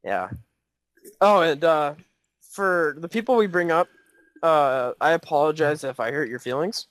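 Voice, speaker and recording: A man's voice: fairly high pitch, lively intonation, unhurried, a bit bright, no room sound. A young man co-hosting a casual podcast, joking and tripping over his words as he talks with friends in one room. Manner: joking, stammering restarts. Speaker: podcast co-host. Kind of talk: casual chat. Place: shared room with co-hosts. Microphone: clip-on mic on shirt.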